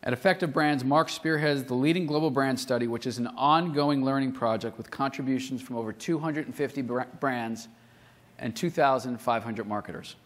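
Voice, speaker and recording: A man's voice: mid-range voice, brisk. Man reading formal speaker introductions. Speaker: event host introducing speakers. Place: conference hall.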